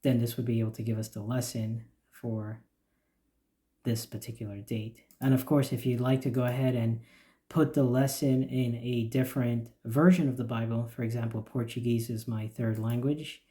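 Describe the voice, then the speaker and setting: A man explaining the features of a church website in a steady, plain walkthrough with occasional fillers. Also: mid-range voice, normal speed. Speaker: church website developer giving a demo. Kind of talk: screen-recorded software walkthrough.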